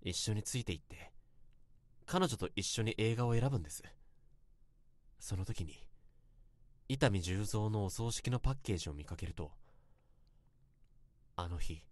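A man's voice: on the low side, lively intonation, moderate pace, long pauses, no echo, natural voice. An actor playing an earnest, self-reproaching young man who confesses his regrets in an emotional radio drama monologue. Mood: bittersweet. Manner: emotional first-person confessing, swinging into outbursts.

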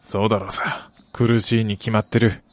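An actor playing a middle-aged, wistful gambling soccer fan in a radio drama, polite and measured with a rueful, quietly menacing edge. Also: male voice, on the low side, flat delivery, no echo, natural voice. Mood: frustrated. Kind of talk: Japanese radio drama acting.

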